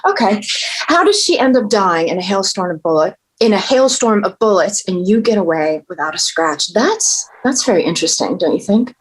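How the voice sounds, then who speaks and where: An actress playing a cool, sarcastic, skeptical homicide detective at a table read of a TV crime drama. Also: female voice, mid-range voice, very animated, moderate pace, slightly rough, rough recording. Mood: sardonic. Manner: dry, sarcastic questioning.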